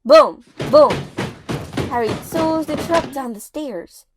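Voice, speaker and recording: A woman narrating an audio play in a theatrical, suspenseful manner, pausing for effect. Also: sits high, lively intonation. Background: music.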